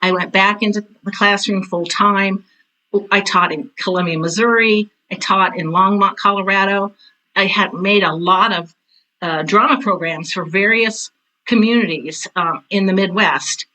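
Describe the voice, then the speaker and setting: Bright-voiced older woman, chatty and emphatic. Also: mid-range voice, moderate pace, poor audio. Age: 60s. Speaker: interview guest, retired theater teacher and director. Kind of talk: casual conversational storytelling.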